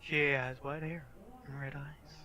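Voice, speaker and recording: A man reading a visual novel aloud as a streamer, often slow and hushed, with chatty asides. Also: a little high, little pitch movement. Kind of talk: casual playthrough read-aloud with chatty asides.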